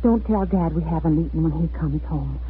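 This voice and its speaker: A woman's voice: sits low, a little fast, lightly acted. An actress playing an anxious, protective, defiant mother under occupation in a dramatic 1940s radio play. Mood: weary, gentle. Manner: earnest, dramatic. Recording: scratchy, phone-like 1940s radio recording.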